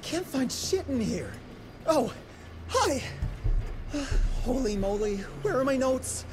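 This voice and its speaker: A man's voice: very high voice, sing-song, slow, noisy background, exaggerated voice. A man playing a nervous young genius engineer in a video game, rambling in flustered technical jargon.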